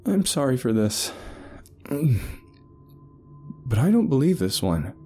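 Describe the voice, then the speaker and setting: A man voicing a wary, sharp-tongued young woman in a told story. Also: mid-range voice, very animated, fairly quick, slight background noise, pitched above the performer's own voice, lightly acted. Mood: apologetic doubt.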